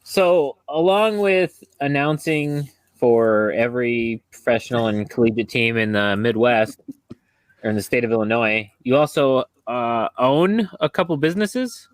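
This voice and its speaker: A man's voice: medium pitch, expressive, a little slow, dry recording. A man co-hosting a podcast and putting casual questions to a guest. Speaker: podcast co-host, interviewer.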